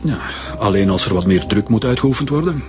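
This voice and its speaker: Male actor as police chief, Flemish accent, lowish voice, brisk, formal, officious, radio drama, music behind. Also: animated, close to an everyday voice. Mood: probing, stern.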